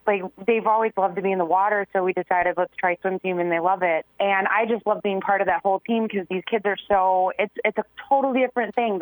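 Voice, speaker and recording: A hoarse woman in her forties with a raspy voice, excitedly talking as a contest winner on a call. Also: mid-range voice, dry recording, low-quality recording. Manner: excitable, gushing. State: hoarse from cheering. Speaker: radio contest winner on the phone.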